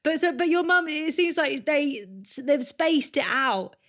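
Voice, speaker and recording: A woman's voice: high-pitched, animated, measured pace, fairly bright tone. Chatty woman podcast host on a call. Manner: chatty, enthusiastic, friendly questioning. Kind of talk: casual interview chat. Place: home room, indoors. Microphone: headphones with a large desk mic, video call.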